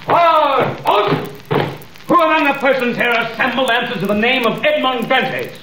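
An actor playing a stern police officer who barks orders, in a 1930s radio drama. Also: male voice, very high-pitched, moderate pace, noisy background. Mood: commanding. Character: stern commanding police officer, middle-aged man. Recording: roomy old radio recording with music.

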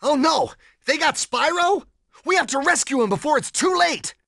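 A man's voice: very high-pitched, lively intonation, normal speed, creaky, fairly bright tone, no room sound, clean studio sound, exaggerated voice. A man voicing a cocky, laid-back young cheetah sidekick in a video game, breezy, slangy and friendly. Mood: alarmed, urgent. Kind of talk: animated video game voice acting.

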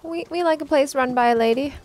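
A woman's voice: high voice, fast talker, a little mellow. A woman co-hosting a reaction show, commenting casually on a video game.

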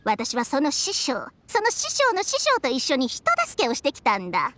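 A woman voicing a proud, bossy, sharp-tongued talking snake in a Japanese video game. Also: sits high, wildly swooping pitch, normal speed, no room sound, full character voice. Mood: haughty, indignant. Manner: bossy, blunt, scolding.

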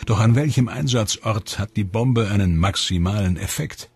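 A man giving measured, explanatory scripted voice-over narration for a documentary. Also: lowish voice, slightly rough. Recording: voice-over with music behind.

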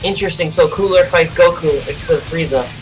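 A man's voice: fairly high pitch, fairly quick, far from the mic, poor audio. A young adult man giving casual live reaction commentary as a video host. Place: small bedroom, indoors. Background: trailer music.

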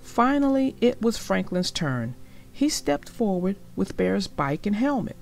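Animated woman reading stories to children. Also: mid-range voice, unhurried, creaky, soft background music. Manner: addresses young listeners directly, explaining the lesson. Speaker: children's storybook narrator. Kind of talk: expressive read-aloud storytelling for children.

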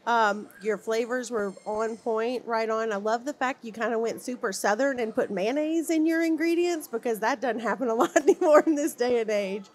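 A woman's voice: fairly high pitch, moderate pace, few pauses. A woman judging a kids' baking contest, giving upbeat, direct praise into a handheld mic in a large fair hall. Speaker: contest judge. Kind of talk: giving judge's feedback.

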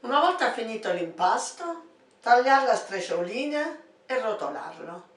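Older home cook, Abruzzo Italian accent, slow steady delivery, fairly high voice, heard from across an echoey kitchen. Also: female voice. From Italy. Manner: slow step-by-step instructions. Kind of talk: cooking demonstration. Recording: echoey kitchen, distant mic.

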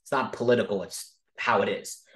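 Fast, emphatic man teaching on a call. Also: on the low side, fairly bright tone. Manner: fast, emphatic, frequent "okay?" check-ins. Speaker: crypto educator hosting a Zoom call. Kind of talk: casual screen-share teaching.

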